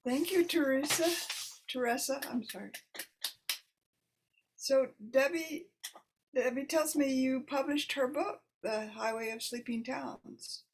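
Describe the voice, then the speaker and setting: A woman's voice: sits high, slow pace, pauses often. A woman hosting an online poetry reading in a casual, conversational way. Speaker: host introducing poets. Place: video call. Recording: noisy video call audio with clicks.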